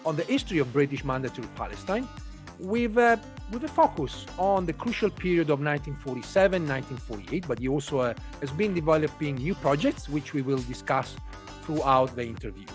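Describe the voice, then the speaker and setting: A man's voice: on the high side, lively intonation, fairly quick, faint music behind. A man hosting an interview podcast, introducing his guest formally with some hesitant fillers. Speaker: podcast host.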